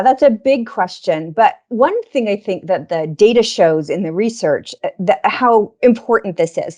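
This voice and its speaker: A woman in a conversational business discussion, explaining research informally as a guest speaker and stressing key words. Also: medium pitch.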